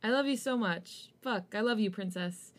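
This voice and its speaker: A woman's voice: mid-range voice, moderate pace, natural voice. Woman reading as a tender, coaxing male lover. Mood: adoring.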